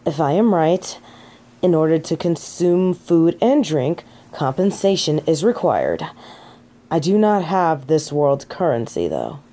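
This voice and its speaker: A woman's voice: sits low, sing-song, unhurried, mellow, heavily acted. A woman playing a snobby, indignant fallen angel in an audio roleplay, with pompous, formal, archaic phrasing and an easily affronted manner. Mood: haughty, curious. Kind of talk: scripted audio roleplay acting.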